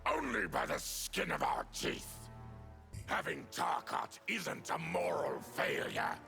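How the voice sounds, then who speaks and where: A gravelly, defiant mutant monster. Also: male voice, on the high side, wildly swooping pitch, takes it slowly, sharp tone, faint music behind, full character voice. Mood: bitter, defiant. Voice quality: gravelly, rough. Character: embittered, defiant monstrous mutant outcast warrior. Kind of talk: video game creature acting.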